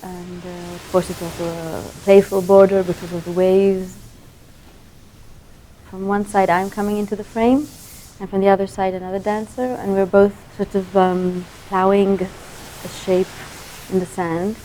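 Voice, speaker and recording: A woman video artist from Israel describing her work, reflective and halting. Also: medium pitch, level tone, mellow, a little hiss or hum, low-quality recording. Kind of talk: interview.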